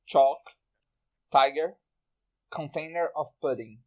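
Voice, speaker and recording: Slow, halting man on a podcast. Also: on the high side, fairly even intonation, phone-quality sound. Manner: halting, repeats words, often breaks into laughter. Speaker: podcast host. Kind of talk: casual group podcast chat. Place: remote group voice call.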